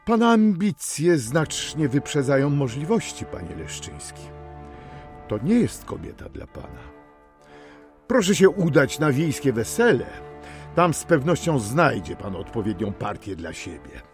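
A man's voice: medium pitch, sing-song, unhurried, faint music behind. An actor playing a haughty, class-conscious father in a historical radio drama, talking in a pompous, condescending, cutting way. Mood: scornful.

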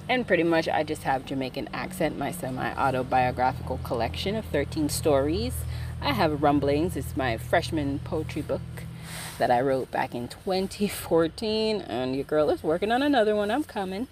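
A woman's voice: low-pitched, a little hiss or hum. A woman podcast host who writes fiction, chatting casually about her work and then reading her own short story aloud. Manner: casual, relaxed intro, then steady storytelling.

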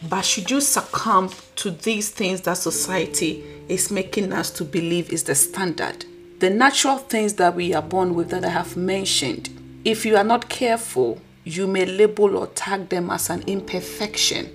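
A woman with a husky voice hosting a podcast, delivering an earnest, preachy motivational talk. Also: mid-range voice, moderate pace. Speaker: podcast host.

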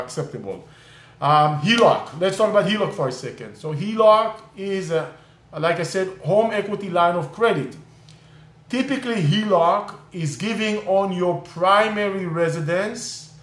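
A man's voice: on the high side, a bit bright, echoey room. A man whose first language is Hebrew hosts a live online session on real estate investing, explaining casually with many fillers and restarts. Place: California.

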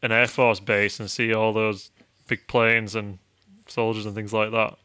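A man's voice: lowish voice, flat delivery, unhurried, bright, forceful tone, clear, resonant tone. A man hosting an interview, asking short, encouraging questions. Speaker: interviewer, host. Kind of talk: conversational interviewing.

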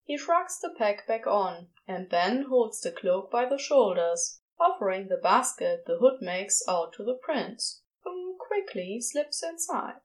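A woman's voice: medium pitch, sing-song, unhurried, few pauses. A woman reading scripted fiction as the narrator of an audio story.

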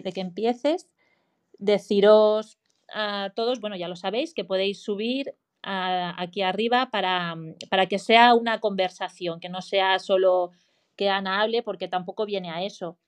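A woman's voice: medium pitch, steady tone, measured pace, smooth. A woman hosting a live audio chat room, introducing her guest and inviting listeners, with many restarts and repeated words.

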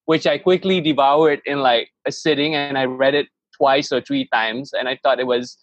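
A man's voice: medium pitch, steady tone, normal speed, dry recording, muffled recording. A man in his thirties who grew up in Malaysia, a podcast guest telling his story in a rambling, enthusiastic way with many restarts. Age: 30s. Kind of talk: casual interview chat.

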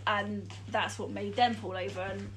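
A woman's voice: medium pitch, steady tone, normal speed, fairly bright tone, poor audio. A woman with a blocked-up nose, chatting casually and laughing often while recapping a film. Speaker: podcast co-host.

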